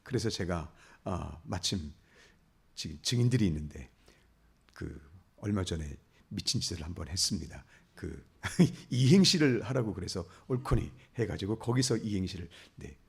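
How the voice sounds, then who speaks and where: A man's voice: sits low, animated, moderate pace, vocal fry, slightly rough. A middle-aged man preaching as a pastor, telling a story about himself and then building to emphatic blessings. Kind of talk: sermon.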